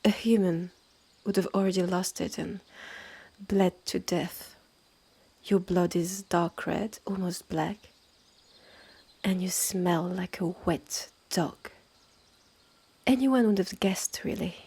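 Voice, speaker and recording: Haughty mistress of the woods, played by a woman: French accent, slow and condescending, gravelly edge, roleplay audio. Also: lowish voice, warm tone, exaggerated voice. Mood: cool, knowing. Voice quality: husky, breathy. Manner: slow, measured, condescending, with breathy drops to near-whisper. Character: haughty, territorial witch's sister running a secretive woodland hotel. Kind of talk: scripted roleplay audio drama.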